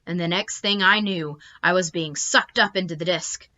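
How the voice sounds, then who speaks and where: Lady doing a whimsical dad telling a tall story, bright n dramatic, bit dull audio. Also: fairly low pitch, normal speed. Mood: wondering. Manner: hesitant, emphatic, theatrical. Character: whimsical, rambling middle-aged British dad telling tall tales.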